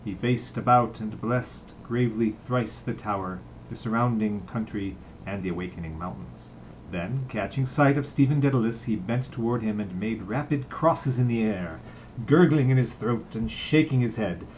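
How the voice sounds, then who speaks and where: A man's voice: fairly low pitch, normal speed, darkish tone, low-quality recording. Man reading a novel, background noise. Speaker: audiobook reader. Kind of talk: literary narration, reading a novel aloud.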